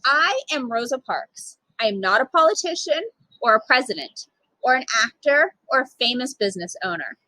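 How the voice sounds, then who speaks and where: A woman reading a children's picture book aloud in an expressive, emphatic way with dramatic pauses. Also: high voice, no room sound, rough recording. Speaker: storytime reader, literacy program manager.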